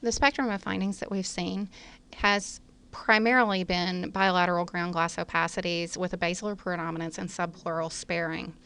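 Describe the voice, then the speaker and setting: A woman's voice: on the low side, fairly even intonation, moderate pace, sharp tone, slight background noise. A woman physician giving medical lecture commentary in formal, technical phrasing.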